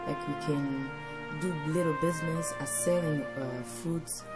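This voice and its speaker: Low-voiced woman over background music. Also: fairly even intonation, a little slow. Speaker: interviewee, widow and mother. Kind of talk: documentary testimony. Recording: music playing under the voice.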